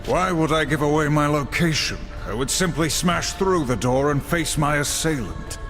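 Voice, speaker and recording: An actor playing a stern, humorless, dutiful warrior horseman in a video game, speaking gravely and formally. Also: a little high, animated, moderate pace, lightly acted. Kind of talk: video game cutscene acting. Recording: long reverb, clear music behind.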